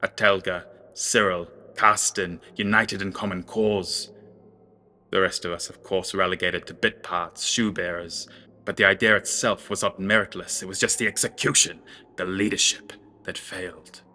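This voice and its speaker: Guy doing a pompous evil sorcerer for a fantasy audio drama, low voice, hard edge, cold and speechy. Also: fairly even intonation, normal speed, pushed and bright. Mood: lofty contempt. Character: ruthless, pontificating male sorcerer lord, mirthless and grandiose.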